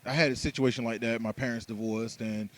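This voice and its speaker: A man's voice: medium pitch, steady tone, a little fast, a bit bright. A man interviewing a guest in a chatty, questioning way with loose slang. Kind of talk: casual interview talk.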